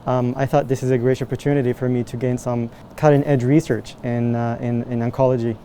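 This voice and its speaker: Young man from Morocco, mellow tone, halting answers with fillers, faint noise behind. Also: mid-range voice, level tone. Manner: hesitant, many fillers and restarts. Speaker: medical student researcher. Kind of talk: interview answers, casual.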